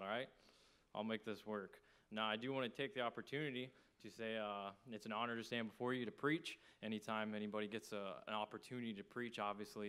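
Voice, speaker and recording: A man's voice: on the low side, pushed and bright, smooth. A man with a Texan accent preaching an evening church sermon in a folksy, conversational way. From small town in Texas, United States.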